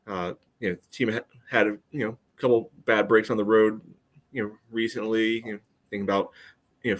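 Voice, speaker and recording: A man's voice: on the low side, a bit bright. A middle-aged man chatting casually about sports as a podcast host, meandering and often saying "you know.".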